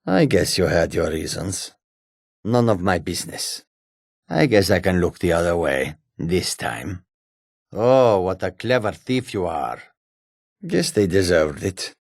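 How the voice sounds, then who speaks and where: An actor playing a sly, menacing, proud humanoid cat warrior in a fantasy video game, with a husky, raspy voice and deliberate delivery. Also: male voice, low-pitched, very animated, dry recording, studio quality, heavily acted. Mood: wary, stern. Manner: slow, deliberate, often speaks of himself in third person.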